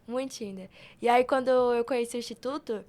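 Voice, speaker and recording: Young brazilian girl, kinda high voice, chatty podcast guest telling stories. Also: fast, darkish tone. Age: young adult. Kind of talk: casual podcast conversation.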